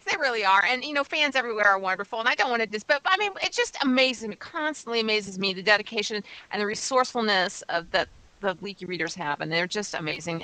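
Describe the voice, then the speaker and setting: Bright-voiced, enthusiastic woman presenting podcast news. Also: fairly high pitch, dry recording, low-quality recording. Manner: gushing, enthusiastic. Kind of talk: casual fan news chat.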